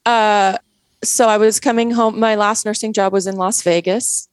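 A woman's voice: mid-range voice, fairly even intonation, moderate pace, clean tone, no room sound. A woman in her forties from Canada, with a Canadian accent, talking casually as an interview guest, full of fillers and restarts. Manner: chatty, many fillers and restarts.

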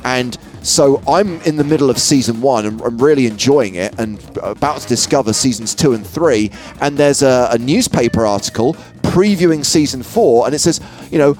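A man's voice: medium pitch, darkish tone. A man hosting a podcast in a conversational style. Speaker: podcast host.

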